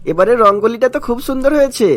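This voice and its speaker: Man doing a caring jolly cartoon brother, high quick voice, hearty, music behind. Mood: affectionate, cheerful. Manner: affectionate, hearty. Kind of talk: cartoon story acting.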